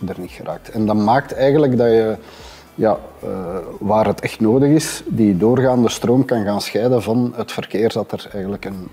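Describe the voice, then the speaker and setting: Husky, mellow Flemish man explaining. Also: fairly low pitch. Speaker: expert panelist. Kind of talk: explanatory conversation. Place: indoor café-style room, at a table.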